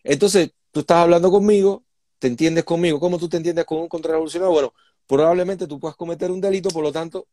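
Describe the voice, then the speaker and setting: A man's voice: a little high, fast, dark tone, no echo. A Cuban man speaking as an interview guest, explaining things in an emphatic, indignant conversational way. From Cuba. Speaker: interview guest, human rights activist.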